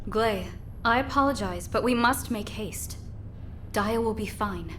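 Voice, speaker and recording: A woman's voice: fairly high pitch, unhurried, a bit bright, slight background noise. An actress playing a courteous, reassuring woman warrior in a video game, with formal, old-fashioned phrasing. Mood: calm, reassuring. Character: courteous, formal, reassuring female comrade in arms. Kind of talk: dramatic video game voice acting.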